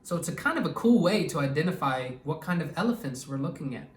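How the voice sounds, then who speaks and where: A man reading a children's story aloud expressively and pausing to explain things to young listeners. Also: on the high side, expressive, slight background noise. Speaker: children's storytime reader and educator. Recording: echoey room, distant microphone.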